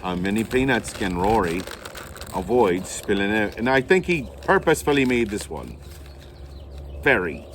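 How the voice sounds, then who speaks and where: Man, deep voice, animated, playful theatrical host, faint background hiss. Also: moderate pace. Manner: theatrical, playful showman with mock announcements. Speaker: host, pipe unboxing. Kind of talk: playful unboxing vlog.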